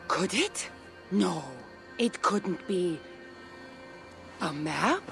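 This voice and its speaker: An actress playing a seasoned, secretive warrior woman in a video game, with a Greek accent and a measured, wry, earnest delivery. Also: lowish voice, very animated, gravelly, over music, heavily acted. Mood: intrigued. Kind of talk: video game cutscene acting.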